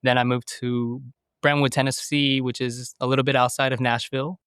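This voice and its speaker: A man in his mid-thirties from the United States, talking through his answers in a relaxed interview. His voice is smooth and resonant, and it sits at an ordinary middle pitch. The recording is dry and close. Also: brisk, dry recording. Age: 30s. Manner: thoughtful, hesitant, many fillers and restarts. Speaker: podcast guest. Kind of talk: conversational interview.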